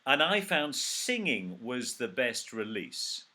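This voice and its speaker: A man's voice: medium pitch, sing-song, slow pace, sharp tone, slight background noise. A man hosting an interview over a video call. He sounds enthusiastic and jokes with his guest. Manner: enthusiastic, joking, upbeat. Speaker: host. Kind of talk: remote interview chat.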